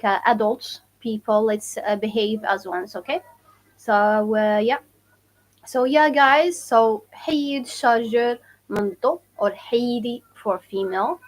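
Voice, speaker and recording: A young woman from Morocco with a Moroccan accent, teaching her dialect on a live stream and slowly repeating phrases for learners. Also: on the high side, slight background noise, low-quality recording. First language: Moroccan Arabic. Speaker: language teacher. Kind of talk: live-stream language lesson.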